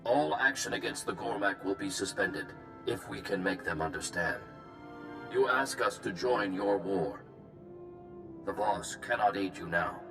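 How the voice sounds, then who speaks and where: An actor playing a stern, solemn alien leader in a video game, speaking in formal, clipped phrases. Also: male voice, lowish voice, unhurried, creaky. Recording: roomy, distant, music behind.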